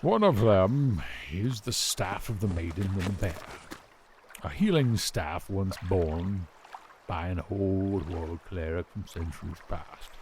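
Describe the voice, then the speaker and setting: A man playing a learned, patient older mentor in a fantasy audio drama, speaking in a measured, formal, scholarly way. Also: lowish voice, darkish tone, slight background noise, heavily acted.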